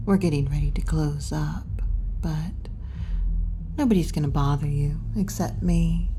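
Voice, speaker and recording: A muscular tomboy wolf girl tending bar, acted by a woman in an ASMR roleplay. She talks slowly in a dark, relaxed tone, teasing and kindly, close to a whisper at times. Faint ambient noise sits behind her. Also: low voice. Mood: teasing, reassuring. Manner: laid-back, wry, intimate, often dropping to a whisper. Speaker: roleplay performer. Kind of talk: ASMR roleplay, addressing the listener.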